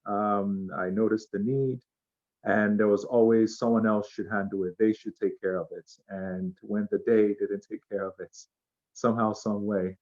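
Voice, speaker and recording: A man's voice: on the low side, steady tone, normal speed, a little mellow. A man introducing himself as a panelist in a candid, plain-spoken way. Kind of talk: self-introduction. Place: video call. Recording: dull lo-fi call audio.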